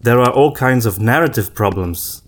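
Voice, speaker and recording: A man's voice: mid-range voice, animated, moderate pace. A man co-hosting a podcast, talking informally and thinking aloud as he goes. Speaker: podcast co-host. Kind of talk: casual discussion of storytelling.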